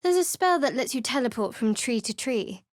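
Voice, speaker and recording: A woman's voice: on the high side, very animated, moderate pace, dry recording. An actress voicing a guarded, sardonic young female cleric in video game voice lines, with a cool, dry manner. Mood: wry.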